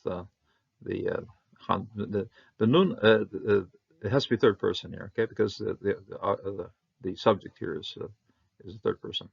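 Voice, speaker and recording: A man teaching a Bible Hebrew lesson, talking haltingly with many fillers and restarts, his voice jumping up on each Hebrew word. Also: on the low side, gravelly, husky, muffled recording. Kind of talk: unscripted verse-by-verse Hebrew lesson.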